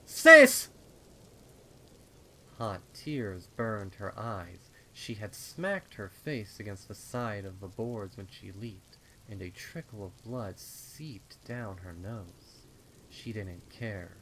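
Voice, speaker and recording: A man with a lowish voice narrates a dark horror tale. His delivery is slow and suspenseful, with deliberate phrasing, over clearly audible rain. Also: a little hiss or hum, rough recording. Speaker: fan fiction narrator. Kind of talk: dramatic horror story narration.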